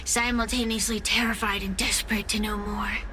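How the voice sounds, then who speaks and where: A woman's voice: mid-range voice, little pitch movement, brisk, bright, forceful tone, slight background noise, natural voice. A woman voicing a taunting, sadistic female spirit in a video game, drawling theatrically and toying with listeners. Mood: gleeful cruelty.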